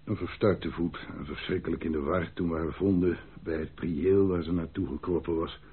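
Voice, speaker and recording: A man's voice: low-pitched, fairly even intonation, fairly quick, husky. An actor playing a frank, loyal army captain in a Dutch radio drama, deferential but emphatic as he speaks. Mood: grave, recounting. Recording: muffled, band-limited old radio recording.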